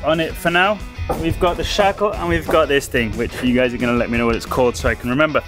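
A man's voice: medium pitch, quick pace. A young man casually explaining boat restoration work step by step as he works in a boatyard and a wooden wheelhouse. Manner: relaxed, explains step by step while working. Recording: guitar music behind.

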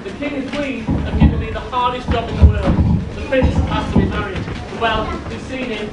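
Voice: medium pitch, moderate pace, over loud music, close to an everyday voice. A performer playing a weary, put-upon royal assistant, declaiming confidingly to a pantomime audience. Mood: weary complaint. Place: large hall, live stage show. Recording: distant, echoey hall, loud audience noise.

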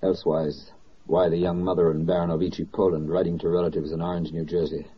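A man's voice: deep voice, level tone, fast, breathy edge. A man performing as a solemn, poetic narrator in a 1940s wartime radio drama, with an oratorical delivery. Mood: grave, oratorical. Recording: muffled old 1940s radio recording.

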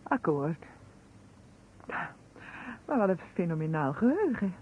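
A cool, guarded woman under suspicion in an old radio play. Her voice is husky and moves widely in pitch. The sound is narrow and tinny. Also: very animated, slow, full character voice. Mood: guarded, questioning. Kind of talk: Dutch radio drama acting.

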